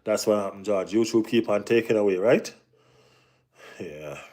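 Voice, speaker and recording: Jamaican man, live-stream football host, slightly low voice, relaxed chatty delivery. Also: normal speed. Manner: relaxed, repeats "you know what I mean". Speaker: football channel host reading live chat. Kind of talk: casual live-stream chat.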